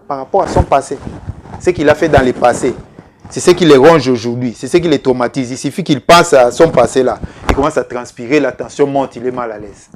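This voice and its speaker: A man's voice: fairly high pitch, a little hiss or hum. Earnest fiftyish male life coach. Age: 50s. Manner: slow, repetitive, earnest, addressing viewers directly. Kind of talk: televised coaching talk.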